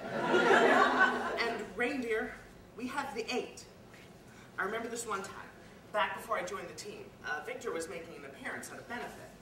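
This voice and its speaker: A woman playing a bitter, guilt-ridden, washed-up reindeer parent in a confessional dramatic stage monologue that swings between hushed and loud. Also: medium pitch, natural voice. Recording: echoey, distant, noisy live room recording.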